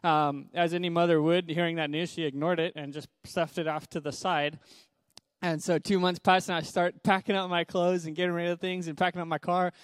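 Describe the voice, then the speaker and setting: A young man from Zimbabwe, a missionary guest speaker, giving an upbeat personal testimony in a church in a brisk, preacherly way. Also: a little high, little pitch movement, clean tone, no echo, studio quality.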